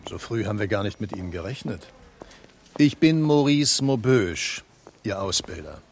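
An actor playing a middle-aged supervisor instructing a trainee in a German radio drama, courteous, formal and businesslike. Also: male voice, on the low side, very animated, a little hiss or hum. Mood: polite, businesslike. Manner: courteous, formal, brisk authority.

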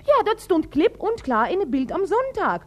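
An actress playing an earnest, naive German housemate in a radio play, hesitant and fussy, with a German accent. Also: female voice, extremely high pitch, sing-song, normal speed.

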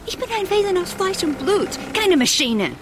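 An actress playing a flirtatious, capricious, mocking celebrity singer in a radio drama. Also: female voice, very high-pitched, big pitch swings, moderate pace, background noise. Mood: affronted, dramatic. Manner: theatrical, mocking. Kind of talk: theatrical radio drama acting.

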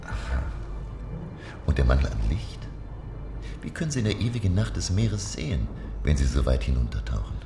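Inquisitive professor-narrator, radio drama. Rock-bottom gravelly bass, formal and wondering; music underneath. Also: male voice, big pitch swings, moderate pace, pauses often, heavily acted. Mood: curious wonder. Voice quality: very deep, gravelly. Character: inquisitive, astonished male professor, narrating his sea adventure. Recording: echoey room, music behind.

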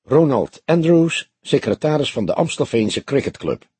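A man's voice: medium pitch, very animated, moderate pace, warm tone, slightly rough, no echo, pitched above the performer's own voice. A man voicing a young police detective, grim, eager and terse, in audiobook dialogue. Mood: urgent.

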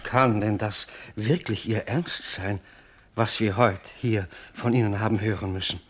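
Husky, doubting apothecary. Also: male voice, fairly low pitch, unhurried, fairly bright tone. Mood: reproachful. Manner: incredulous, questioning. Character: doubting, plain-spoken small-town apothecary questioning the flatterer. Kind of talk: theatrical radio play acting of literary text.

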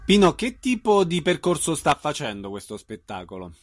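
A man's voice: a little high, big pitch swings, normal speed. A man working as an interviewer, asking questions in a conversational way.

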